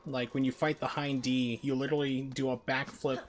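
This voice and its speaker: A man's voice: medium pitch, level tone, a little fast, slight background noise. A man giving casual, rambling, opinionated live commentary as he streams a video game and chats with viewers. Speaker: game livestreamer.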